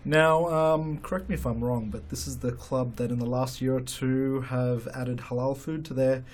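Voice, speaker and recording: A man co-hosting a podcast, speaking in a measured, self-deprecating way with drawn-out fillers. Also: mid-range voice, steady tone, a little hiss or hum. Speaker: podcast co-host. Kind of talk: casual studio chat.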